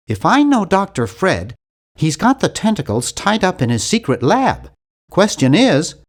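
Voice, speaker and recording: A man's voice: a little high, exaggerated voice. A man voicing an earnest, timid young male nerd in an adventure video game, with a precise, fussy delivery. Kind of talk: animated adventure game voice acting.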